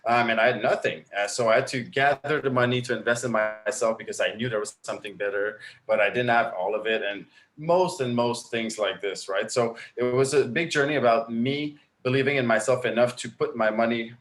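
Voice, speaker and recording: A man's voice: medium pitch, fairly quick, a bit bright, low-quality recording. A man in his thirties, French Canadian, with English as a second language, telling his business story in a live video call with earnest, filler-laden speech. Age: 30s. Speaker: guest coach. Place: indoors at home, bright room. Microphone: laptop webcam video call.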